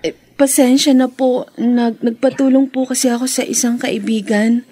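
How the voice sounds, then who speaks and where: High-voiced, meek young daughter. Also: female voice, fairly even intonation, normal speed, dark tone, husky. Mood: apologetic, sorrowful. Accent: Filipino. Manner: hesitant, respectful, tearful pleading. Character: meek, guilt-ridden, devoted young daughter. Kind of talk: Philippine radio drama acting.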